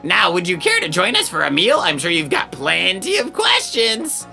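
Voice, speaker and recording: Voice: medium pitch, wildly swooping pitch, normal speed, vocal fry, sharp tone, heavily acted. A performer voicing a clumsy, cheerful professor in a video game, with jovial, old-fashioned genteel phrasing over music. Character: clumsy, cheerful, courteous scholar professor, adult man. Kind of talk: voiced video game dialogue.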